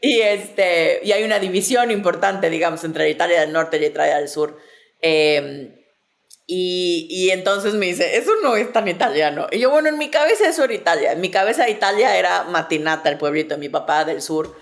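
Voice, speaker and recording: Low-pitched Mexican woman, reflective. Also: a little fast, creaky. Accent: Mexican, Mexico City. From southern Mexico and Mexico City. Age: early 40s. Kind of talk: casual personal chat.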